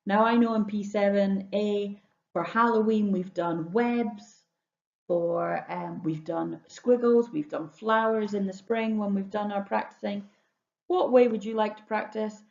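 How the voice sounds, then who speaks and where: A woman's voice: medium pitch, fairly even intonation, measured pace, gravelly, a little mellow. A woman, a primary school teacher, explaining home learning tasks in an instructional way and often checking understanding with questions. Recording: roomy echo, dull lo-fi sound.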